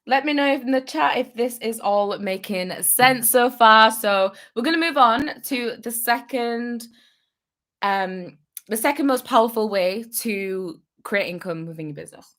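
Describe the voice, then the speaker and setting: A woman's voice: on the high side, unhurried, fairly bright tone. A young adult woman, a business coach, giving upbeat, encouraging advice on a live vlog and repeating phrases for emphasis.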